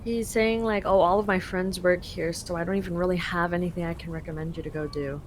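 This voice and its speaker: A woman's voice: lowish voice, fairly even intonation, fast talker, slight background noise. A young woman from Canada translating a game live for a friend, chatty and full of fillers.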